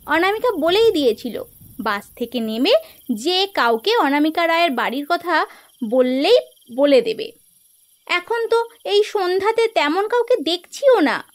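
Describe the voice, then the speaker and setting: A woman in her twenties voicing a cautious, sensible young woman in an animated ghost story. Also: extremely high pitch, unhurried. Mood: explaining. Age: 20s. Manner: cautious, persuasive.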